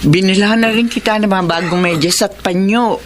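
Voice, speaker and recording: A woman's voice: medium pitch, a little fast, crowd noise, close to an everyday voice. Babaeng gumaganap na maalagang inang probinsyana, katamtaman ang tono. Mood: caring, fussing. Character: caring, practical middle-aged provincial mother. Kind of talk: Tagalog radio drama acting. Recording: clear background noise.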